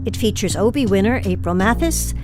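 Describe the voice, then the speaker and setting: A woman's voice: medium pitch, expressive, a little fast. A woman reading a scripted podcast introduction and stage directions as announcer and narrator. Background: music.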